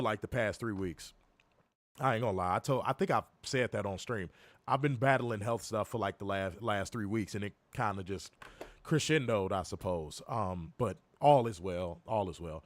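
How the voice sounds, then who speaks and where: A young man co-hosting a wrestling review stream, hoarse from illness, cursing and joking straight to his viewers. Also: fairly low pitch, no room sound. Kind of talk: casual live-stream chat. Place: home, in Chicago.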